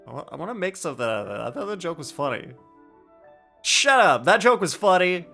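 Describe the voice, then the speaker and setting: A man's voice: a little high, sing-song, brisk, gravelly, pushed and bright, faint music behind. A man doing casual live commentary as he streams a video game, playful, joking and mock-argumentative.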